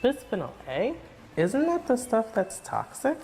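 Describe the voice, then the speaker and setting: A woman's voice: fairly high pitch, huge pitch swings, unhurried. A woman giving a scripted informational narration in a conversational, emphatic way. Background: music.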